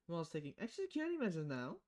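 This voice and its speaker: A woman's voice: fairly low pitch, wildly swooping pitch, racing, darkish tone. A woman streaming a visual novel, reading the dialogue aloud with casual, playful commentary and switching voices between lines.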